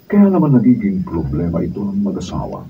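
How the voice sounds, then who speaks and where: A man's voice: low voice, wildly swooping pitch, a little fast, warm tone, a little hiss or hum. A Filipino man introducing a radio drama as its narrator, reading from a script. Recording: distant mic, dull sound.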